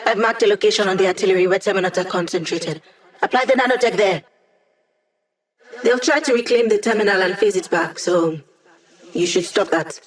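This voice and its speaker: An actress plays a young woman hacker living as a hologram in a video game. She is cocky and sardonic, tossing out slangy lines and teasing her squad in a medium-pitched voice. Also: brisk, pauses often, natural voice. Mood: focused, urging.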